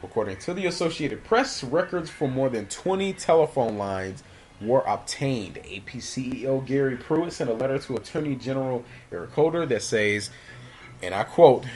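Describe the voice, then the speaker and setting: A man's voice: medium pitch, darkish tone. A man presenting news commentary as a radio host, reading from prepared notes and adding his own opinion. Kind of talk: news commentary, partly scripted.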